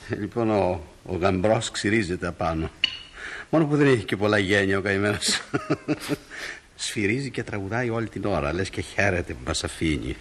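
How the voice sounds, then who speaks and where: A man playing a genial, sentimental middle-aged father in a radio play, in an expressive, reminiscing way. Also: sits low, slight background noise. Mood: amused.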